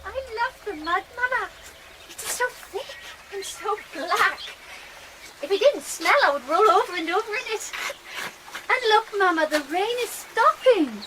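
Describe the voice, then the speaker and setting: A woman acting an eager, chatty young girl in a period radio drama, childlike, excitable and outspoken. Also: very high-pitched, unhurried, noisy background. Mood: delighted.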